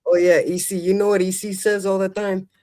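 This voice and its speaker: A man's voice: high voice, level tone, a little fast, warm tone. A man hosting a call-in show in casual, colloquial chat, often checking that listeners follow. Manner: colloquial, chatty, seeks agreement. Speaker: advice call-in show host.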